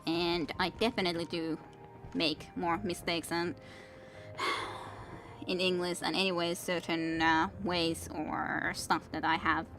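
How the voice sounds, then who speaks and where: A woman's voice: lowish voice, little pitch movement, a little slow, a bit bright, soft background music. Rambling Finnish-accented woman streamer. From Finland. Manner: rambling, reads chat aloud, many restarts. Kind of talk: casual gameplay stream chat.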